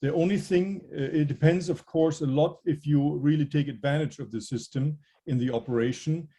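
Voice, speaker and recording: Guest explaining tech stuff, mellow husky voice, slow careful, lots of ums. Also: male voice, fairly high pitch, fairly even intonation. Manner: measured, hesitant, explaining technical points. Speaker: aviation technology guest. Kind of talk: interview answers.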